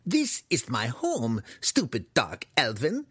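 A man's voice: medium pitch, huge pitch swings, slow, husky, no echo, pitched above the performer's own voice, full character voice. A man voicing a cranky, paranoid old human wizard in a fantasy audiobook, shrieking and muttering in quaint broken grammar. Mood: indignant.

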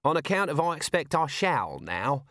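A man's voice: a little high, very animated, normal speed, a bit bright, dry recording, clean studio sound, voice pushed up. A man voicing a guileless, deferential watch corporal in an audiobook, earnest and unrefined. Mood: pleased, earnest.

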